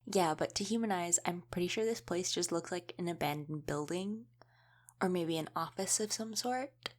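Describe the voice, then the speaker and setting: A woman's voice: on the low side, moderate pace, natural voice. A woman playing an easygoing young female wolf shifter in a casual audio roleplay, chatting and explaining things informally. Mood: relaxed explaining.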